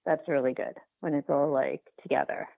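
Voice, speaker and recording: A woman speaks over a narrow, phone-like line in a lowish voice with a gravelly fry. She talks casually as an interviewed filmmaker, circling back and rewording as she thinks out loud. The sound is close and dry. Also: fairly even intonation, moderate pace, dry recording. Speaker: documentary filmmaker being interviewed.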